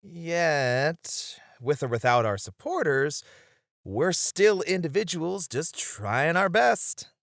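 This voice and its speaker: Supportive, earnest lounge host for ASMR roleplay, played by a man: playful, very lively pitch, dry recording. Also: takes it slowly, gravelly, dry recording, heavily acted. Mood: reassuring. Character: supportive, playful male lounge host looking after a colleague. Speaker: voice actor.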